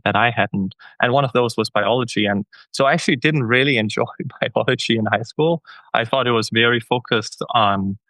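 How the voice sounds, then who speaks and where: A man's voice: mid-range voice, bright, forceful tone, dry recording, low-quality recording. A man from Denmark, a guest scientist answering interview questions in a hesitant way, with many restarts and repeated words.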